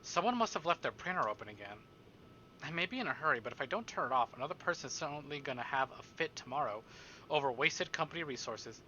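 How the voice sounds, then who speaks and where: A man's voice: on the high side, lively intonation, fast talker, sharp tone, dull audio, a little hiss or hum. A man livestreaming a visual novel, reading it aloud dramatically and adding casual joking asides.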